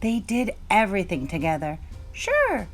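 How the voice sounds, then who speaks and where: A woman's voice: medium pitch, sing-song, a little slow. A woman reading a children's story aloud as narrator.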